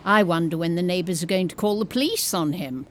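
A woman's voice: fairly low pitch, brisk, lightly acted. Blunt, cantankerous old woman played by an actress, noisy background. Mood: wry, grumbling. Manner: blunt, wry, grumbling. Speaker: actor in an audio drama. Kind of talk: naturalistic comedic audio drama acting.

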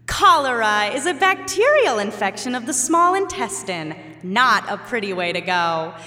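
A woman's voice: on the high side, big pitch swings, normal speed, bright, forceful tone. An actress playing an outspoken, sarcastic young female researcher in an audio drama, speaking bluntly and articulately. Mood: matter-of-fact, confident. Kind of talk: naturalistic audio drama acting. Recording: long hall reverb.

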